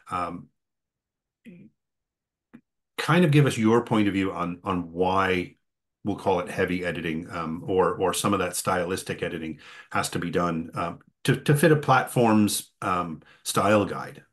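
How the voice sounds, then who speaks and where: A man hosting a writers' workshop conversation, talking in a halting way with many fillers and restarts. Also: low-pitched, a bit bright. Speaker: host. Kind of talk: conversational interview.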